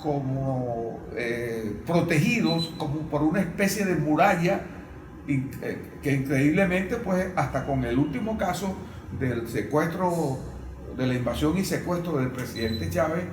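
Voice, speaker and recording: A Venezuelan man speaking as a panelist in an unscripted political discussion, explaining in a halting way. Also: on the high side, animated. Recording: roomy, distant microphone.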